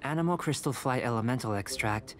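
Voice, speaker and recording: A man voices a young male alchemist from a video game. He is composed, polite and a little detached, with a medium-pitched voice and precise, scholarly delivery. Music plays clearly underneath. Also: brisk, natural voice. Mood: polite, musing. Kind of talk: animated video game character acting.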